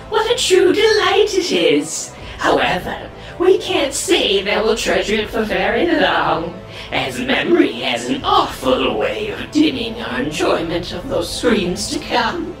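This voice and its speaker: Slow, swooping, cruel demonic sister. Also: female voice, a little high, very animated, vocal fry, heavily acted. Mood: sadistic delight. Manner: sing-song, mocking, theatrical. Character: cruel, gleeful demonic sisters, living blades. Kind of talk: horror audio drama acting. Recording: echoing distant voice over music. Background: music.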